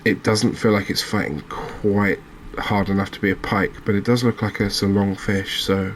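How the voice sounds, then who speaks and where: A man giving gentle, unhurried ASMR commentary as he plays a game, pausing and hesitating as he goes. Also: lowish voice, a little hiss or hum. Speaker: host of a gaming channel. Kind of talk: ASMR let's-play commentary.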